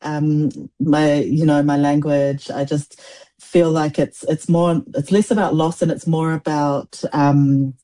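A woman in her forties, born in Samoa, whose first language is Samoan. She has a low, mellow voice and answers in a reflective, halting way, often restarting her sentences. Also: little pitch movement. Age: 40s. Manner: thoughtful, halting, many restarts and fillers. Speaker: poet, guest.

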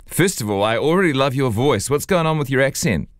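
A man's voice: mid-range voice, lively intonation, brisk, no room sound. Brief: New Zealand man, radio host, chatty and upbeat, dry close studio mic. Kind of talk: radio chat show interview. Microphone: studio microphone.

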